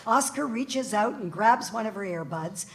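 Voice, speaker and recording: A woman's voice: medium pitch, animated, moderate pace, noisy background. A middle-aged woman giving scripted narration, reading out stage directions at a live script read. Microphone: stand microphone.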